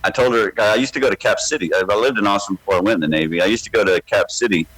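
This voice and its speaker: A man who is a stand-up comedian, telling a long, rambling story in a casual chat, with a deep, slightly mumbly voice and plenty of swearing. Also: racing, a bit bright, slightly rough, no echo, poor audio. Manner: rambling, laid-back, swears freely. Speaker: stand-up comedian, podcast guest.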